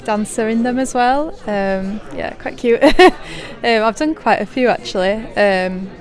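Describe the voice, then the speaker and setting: A woman's voice: fairly high pitch, a little slow. A female pantomime actor answering interview questions in a bubbly, giggly way.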